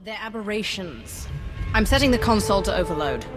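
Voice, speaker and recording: Game lead, female dark lord; clipped, commanding woman actor, mid pitch. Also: expressive, a little fast, lightly acted. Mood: cold. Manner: curt and commanding. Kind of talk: video game voice acting. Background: game music.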